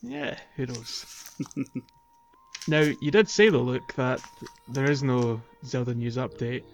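A man's voice: medium pitch, takes it slowly, vocal fry. A man co-hosting a gaming podcast, talking casually in a hesitant, rambling way. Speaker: podcast co-host.

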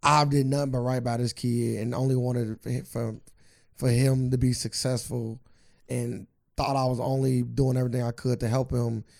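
Man, gravelly voice, dark tone, laid-back co-host, dry close mic. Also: mid-range voice, flat delivery, normal speed, no echo. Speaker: podcast co-host. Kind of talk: casual sports chat.

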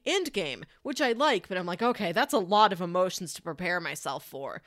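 A woman's voice: on the low side, lively intonation, brisk, a bit bright, no room sound, studio quality. A woman co-hosting a podcast, chatting casually and telling stories in a conversational way. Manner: chatty, quotes dialogue with frequent "like". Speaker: podcast co-host.

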